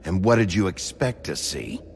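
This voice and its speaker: An actor voicing a gruff, brooding wolf-man sheriff in a video game, terse and guarded with a gravelly voice. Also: deep voice, lively intonation, moderate pace, a little hiss or hum. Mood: tense.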